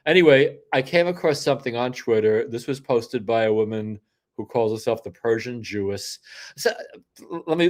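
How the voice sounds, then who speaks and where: An older man hosting a solo livestream, rambling through casual commentary with frequent restarts and asides, sniffly from a cold. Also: mid-range voice. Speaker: host.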